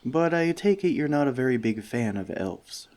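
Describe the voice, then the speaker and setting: A man's voice: mid-range voice, lively intonation, normal speed, darkish tone, close to an everyday voice. Man playing a friendly, teasing forest elf. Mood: wry, cautious. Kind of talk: ASMR roleplay addressed to the listener.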